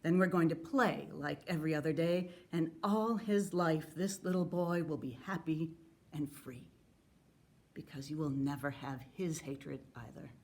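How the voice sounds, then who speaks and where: A woman giving a solemn, earnest and measured dramatic reading of a letter. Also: low voice, darkish tone. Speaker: actor reading historical letters.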